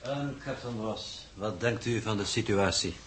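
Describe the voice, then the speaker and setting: An actor playing a formal, suspicious expedition coordinator in a 1960s Dutch radio drama, with stiff, precise, pompous phrasing. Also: male voice, fairly low pitch, measured pace, a bit bright, a little hiss or hum. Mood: coolly accusing.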